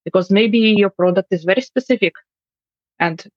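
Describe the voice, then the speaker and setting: A woman guest lays out her points in a measured, explanatory way, slipping in dry humor. Her mid-pitched voice comes through a dull, muffled remote connection with no room sound at all. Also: no echo. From Finland. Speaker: guest customer experience expert. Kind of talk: interview answers.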